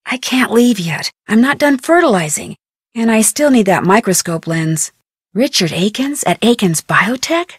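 A woman playing an inquisitive, polite and persistent young American amateur detective, speaking scripted adventure game dialogue in an earnest, expressive way. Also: fairly high pitch, normal speed, breathy edge, no echo, studio quality. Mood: intrigued. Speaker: video game voice actress.

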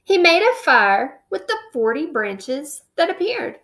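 Woman, 30s, teacher; slow, expressive storybook read-aloud, webcam at home. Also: high voice. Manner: expressive storybook reading with slow, drawn-out emphasis. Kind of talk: children's picture book read-aloud. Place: indoor room at home. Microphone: webcam at close range.

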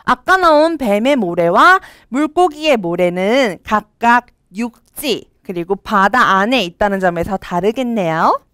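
A young woman teaching children English from a storybook, reading each line slowly and clearly and then explaining it. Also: mid-range voice, lively intonation, fairly bright tone, no room sound. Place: studio with a green screen.

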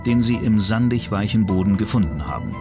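A man's voice: fairly low pitch, expressive, brisk, gravelly. A man reading scripted true-crime documentary narration as the narrator. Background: background music.

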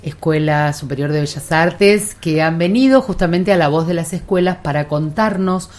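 A woman's voice: deep voice, moderate pace, darkish tone, faint music behind. A woman with an Argentine accent hosting an informal radio interview. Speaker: radio host introducing guests. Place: radio studio.

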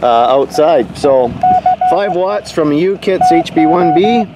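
A man's voice: a little high, expressive, a little slow. A middle-aged man from Canada giving casual explanatory commentary as an amateur radio operator outdoors. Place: outdoors in a yard at a wooden table. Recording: very noisy outdoor recording, radio Morse tones. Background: outdoor noise, radio static and Morse beeps.

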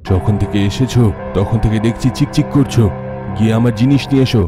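A man playing a stubborn, commanding officer in an animated horror story, brusque and dismissive of superstition. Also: mid-range voice, fast, music in the background, natural voice. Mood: dismissive, irritated.

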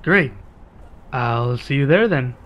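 Man, medium-pitched voice, ASMR roleplay, laid-back werewolf character, measured conversational delivery, pauses. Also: lively intonation, muffled recording. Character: laid-back, reluctantly friendly male werewolf local. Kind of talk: ASMR roleplay acting, one-sided conversation.

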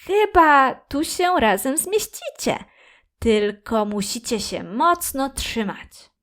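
A woman's voice: high-pitched, very animated, takes it slowly, fairly bright tone, pitched above the performer's own voice, heavily acted. A woman voicing a jolly, caring father with a hearty, playful manner in a children's fairy tale.

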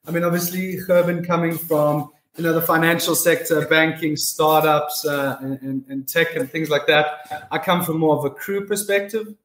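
Guy being interviewed, slightly high voice, casual, doubles up words, echoey room. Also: steady tone, normal speed, few pauses, a bit bright, slight background noise. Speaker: business development manager, interview guest. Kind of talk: conversational interview answers. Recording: roomy, echoing sound.

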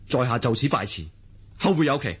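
A man's voice: mid-range voice, lively intonation, brisk, natural voice. An actor playing a proud, free-spirited young swordsman in a radio drama, earnest and dramatic, with a Hong Kong Cantonese accent. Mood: defiant, resolute. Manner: earnest, dramatic, musing aloud.

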